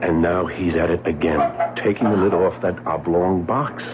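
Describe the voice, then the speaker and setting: An actor playing an earnest, loyal gentleman husband in a radio drama, often stammering as he talks. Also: low-pitched, fairly even intonation, normal speed, lightly acted. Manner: earnest, flustered, stammering restarts. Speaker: husband investigating a mystery. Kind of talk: 1970s radio drama acting. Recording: muffled, hissy old radio broadcast.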